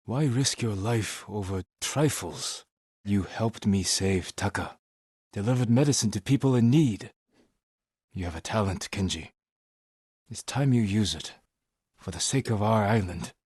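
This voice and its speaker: An actor playing a stern, honorable samurai warrior in a video game, with a husky voice and a measured, terse, commanding manner. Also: male voice, lowish voice, a little mellow, no echo, studio quality. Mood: grave, subdued. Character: stern honorable adult male samurai warrior, dutiful and weary. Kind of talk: video game character voice lines.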